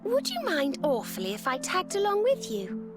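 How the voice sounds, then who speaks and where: A woman with a British accent playing a gentle, polite young magical bard in a video game, sweet and courteous in manner. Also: high-pitched, huge pitch swings, moderate pace, over loud music. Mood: warm, gentle. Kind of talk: video game character acting.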